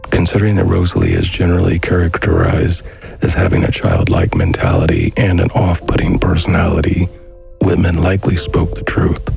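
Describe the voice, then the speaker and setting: A man reading a scripted documentary narration in a measured, formal manner. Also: sits low, gravelly, a little mellow, breathy edge. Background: music.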